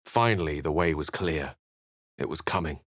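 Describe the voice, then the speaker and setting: A man's voice: very deep voice, moderate pace, no room sound, studio quality. A male actor voicing a bookish, courteous wizard for a video game, speaking in wry, brooding, articulate and literary phrases. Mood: hushed narration. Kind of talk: video game voice acting, narration and dialogue.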